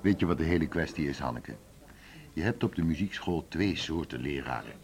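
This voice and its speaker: A man's voice: a bass voice, animated, fairly quick. An actor in a Dutch radio drama playing a blunt, scornful, opinionated man from music school circles.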